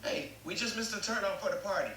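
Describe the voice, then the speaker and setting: A man plays an agitated teen younger brother at a table read. His voice is high and bright, recorded in a reverberant room with faint hiss. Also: lively intonation, quick pace. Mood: excited. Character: agitated teenage boy, someone's worried younger brother. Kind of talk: live table read acting. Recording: echoey, hissy video call.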